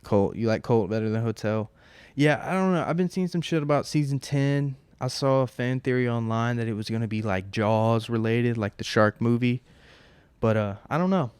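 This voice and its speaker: A man's voice: mid-range voice, normal speed, darkish tone, smooth, no echo. Rambling, profane young man podcasting. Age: 20s. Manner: rambling, profane, with many fillers and restarts. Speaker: podcast host. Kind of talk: casual solo podcast chat. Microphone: close mic at home.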